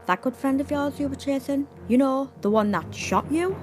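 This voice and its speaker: A woman's voice: sits high, moderate pace, faint music behind, natural voice. A woman playing a tough, brash, taunting mercenary in an audio drama.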